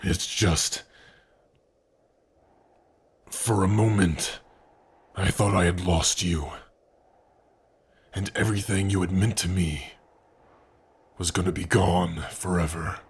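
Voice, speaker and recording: Husky, deep, slow-speaking gentle orc played by a man. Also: fairly even intonation, full character voice. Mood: shaken, tender. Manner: tender, earnest, slow and breathy with sighs. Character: big gentle orc man, devoted tender lover. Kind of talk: ASMR romance roleplay, intimate monologue.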